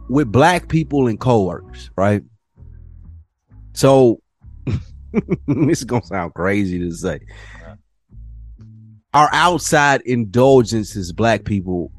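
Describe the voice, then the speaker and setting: A man's voice: mid-range voice, darkish tone. A man co-hosting a podcast in casual conversation, slangy and profane, giving his opinions freely. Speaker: podcast co-host.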